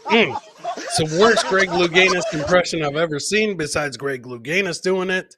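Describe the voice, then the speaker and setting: A man's voice: high voice, animated, normal speed, heavy background noise. A man hosting a comedy podcast, commenting casually on funny clips and repeating his phrases for comic effect.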